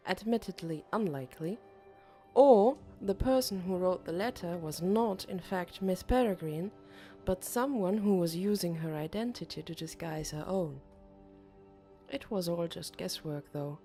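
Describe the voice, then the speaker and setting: Woman as a teenage boy storyteller, slightly low pitch, soft dark tone, measured, pensive, audiobook narration.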